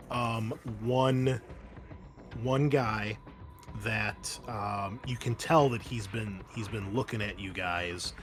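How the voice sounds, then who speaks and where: Guy game mastering a tabletop rpg, kinda halting, music faintly behind him. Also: fairly low pitch. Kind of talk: improvised tabletop game narration and casual rules talk.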